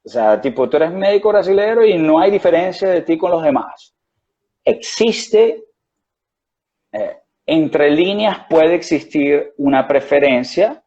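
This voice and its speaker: A man's voice: medium pitch, dark tone, muffled recording. A man with a Venezuelan accent, a guest doctor explaining things in a rambling way with many restarts and fillers. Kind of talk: conversational interview.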